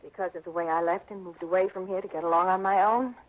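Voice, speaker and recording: An actress playing a defiant, headstrong young adopted heiress in a 1960s radio drama. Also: female voice, on the low side, steady tone, fast talker, natural voice. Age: young adult. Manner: headstrong, defensive. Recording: dull lo-fi old radio broadcast.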